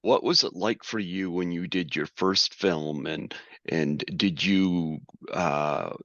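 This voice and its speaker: A man with a very deep voice asks interview questions slowly and with care. The sound is dull and dry, with a muffled, lo-fi tone. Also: a bit bright, no room sound. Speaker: podcast host.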